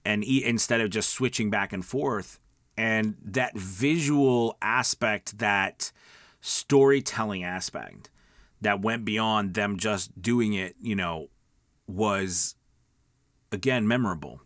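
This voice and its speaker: Man, middle-aged, lower voice, dry sound, casual instructional delivery, conversational, emphatic. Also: takes it slowly, fairly bright tone, no room sound. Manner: conversational, emphatic, checks in with "right?" and "okay?". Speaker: voice-over coach and video host. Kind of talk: casual instructional talk to viewers.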